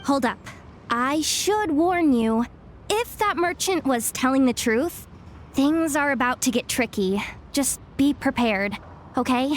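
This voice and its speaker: A woman's voice: high voice, animated, takes it slowly, slight background noise. A woman playing a level-headed, blunt young woman in a game cutscene, matter-of-fact and cautioning. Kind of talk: video game cutscene acting.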